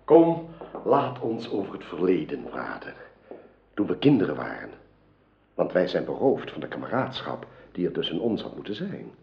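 An actor playing an earnest, courteous noble king in a radio drama, with a husky, gravelly voice. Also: low-pitched, huge pitch swings, moderate pace, heavily acted. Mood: courteous, moved. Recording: dull, lo-fi radio play recording.

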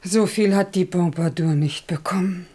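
Scheming middle-aged mother, radio drama. Servile, excitable, hungry for money. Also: fairly low pitch, moderate pace, slightly rough. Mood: greedy, astonished. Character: greedy, servile scheming mother of a kept daughter. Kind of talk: 1970s German radio play acting.

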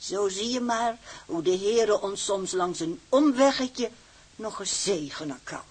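An older mother, pious, homely and sure of her opinions. Her voice is very husky and mid-pitched, reassuring, in radio drama style. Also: expressive, measured pace, a little mellow. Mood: pious, approving. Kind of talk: Dutch radio drama acting.

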